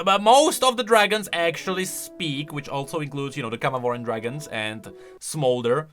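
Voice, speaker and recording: A man giving casual reaction commentary as a video host, enthusiastic and halting as he thinks aloud. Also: mid-range voice, pushed and bright.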